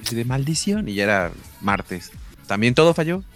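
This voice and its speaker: A man's voice: medium pitch, sing-song, brisk, soft background music. A young Mexican man co-hosting a podcast, chatting casually and telling a story with many fillers. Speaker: podcast co-host. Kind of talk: casual chat.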